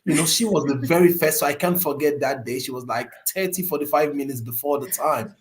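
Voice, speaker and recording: A man's voice: a little high, a little fast. A man co-hosting a student panel show as a program director, speaking in a hesitant conversational way with many ums. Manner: frequent ums, warm thanks, anecdotal. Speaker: co-host, MBA director.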